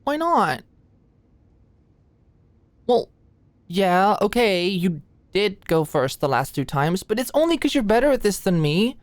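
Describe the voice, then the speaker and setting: Woman acting an anxious, slow-talking young study buddy. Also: mid-range voice, big pitch swings, no echo. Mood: flustered, protesting. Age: young adult. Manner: nervous, stammering, hesitant, playfully defensive. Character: anxious, flustered, endearing short female medical student study buddy. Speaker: ASMR roleplay voice performer. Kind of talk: ASMR roleplay acting, intimate and conversational.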